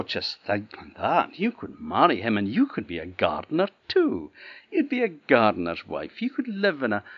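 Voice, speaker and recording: A man's voice: fairly low pitch, breathy edge, no room sound, dull audio, heavily acted. A man voicing teasing young royal sisters with a mocking sing-song manner.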